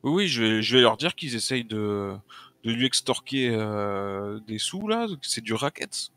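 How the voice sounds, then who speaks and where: A man playing a tabletop role-playing game, speaking haltingly and often repeating his words. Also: lowish voice, sing-song, fairly bright tone, smooth, no echo. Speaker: player. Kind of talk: casual role-play talk.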